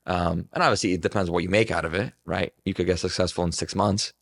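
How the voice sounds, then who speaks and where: Deep, gravelly young man talking reflectively. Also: steady tone, fast. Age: 20s. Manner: rambling, filler-heavy, earnest. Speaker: podcast guest. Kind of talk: casual reflective talk.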